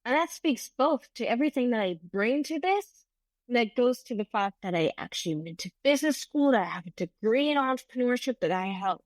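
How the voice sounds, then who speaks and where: A woman's voice: mid-range voice, dry recording. A middle-aged woman whose first language is German, hosting an unscripted solo podcast in an earnest, reflective way, often restarting her sentences. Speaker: podcast host and business coach.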